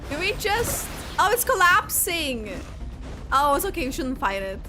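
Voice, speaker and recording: A woman streamer giving casual, expressive playthrough commentary, hesitant and slangy in how she talks. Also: sits high, moderate pace. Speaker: gaming streamer. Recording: game music underneath. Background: game music.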